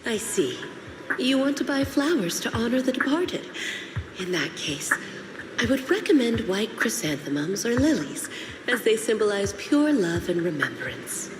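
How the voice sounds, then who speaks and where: A woman playing a courteous, helpful flower shopkeeper in a video game, speaking in a polite, gentle, explanatory way. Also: a little high, sing-song, a little slow, noisy background. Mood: polite, cautious. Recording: roomy sound with clear music behind.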